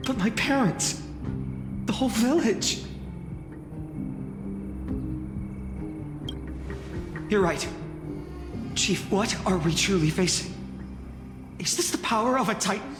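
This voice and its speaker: A man's voice: high-pitched, lively intonation, unhurried, long pauses. A man playing an earnest, brave young village boy in a video game, heartfelt and intense. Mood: shaken. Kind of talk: dramatic scripted game acting. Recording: echoey long reverb under music.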